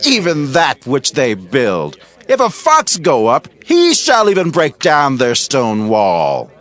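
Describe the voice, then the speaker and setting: Male actor as biblical mocker, contemptuous sneer, very animated pitch, slow drawn-out delivery, audio drama. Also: full character voice. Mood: sneering ridicule.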